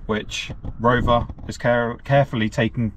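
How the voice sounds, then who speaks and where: A man's voice: mid-range voice, fairly even intonation, normal speed. A man chatting casually as co-host from behind the camera. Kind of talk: casual chat. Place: outdoors on a residential street beside a car. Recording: noisy outdoor camera recording.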